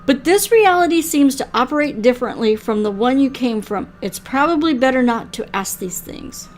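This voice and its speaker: A woman's voice: high voice, lively intonation, moderate pace, soft background music. An older woman hosting a gaming video, casually reading a visual novel aloud like a storybook. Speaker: gaming video host and reader.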